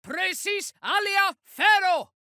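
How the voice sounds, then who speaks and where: A woman's voice: very high voice, takes it slowly, bright, forceful tone, no echo, clean studio sound, heavily acted. A woman voicing a fierce female drow warrior and spellcaster in video game combat, delivering declamatory battle cries with force. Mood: commanding incantation.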